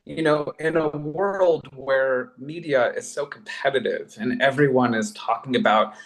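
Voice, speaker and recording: A man's voice: medium pitch, a little slow, rough recording. A man speaking conversationally in a panel discussion as a podcast producer, effusive and emphatic, thinking aloud in casual slang.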